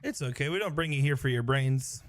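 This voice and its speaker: A man commentating a darts tournament, calmly explaining brackets and results. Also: medium pitch, a little fast, no echo. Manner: steady, informative, fills in bracket details. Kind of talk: live sports commentary.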